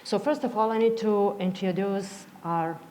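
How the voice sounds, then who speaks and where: A woman's voice: mid-range voice, mellow. Nervous Serbian-accented woman in an echoey hall. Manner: nervous, halting delivery in non-native English. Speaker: patient advocate speaking at a conference. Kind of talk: conference presentation. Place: conference hall, speaking to an audience. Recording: echoey hall with clear background noise.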